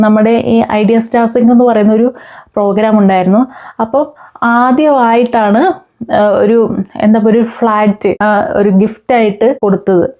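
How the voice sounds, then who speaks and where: A woman with a Kerala accent hosts informal news commentary, talking to viewers in a conversational, hesitant way with frequent pauses. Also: fairly high pitch, warm tone. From Kerala, India. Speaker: online news commentary host.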